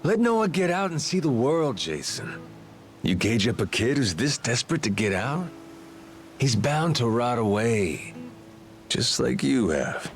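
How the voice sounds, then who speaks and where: A man's voice: medium pitch, big pitch swings, a little slow, soft background music. An actor playing a brash, cocky but sympathetic roguish man in a video game. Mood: challenging.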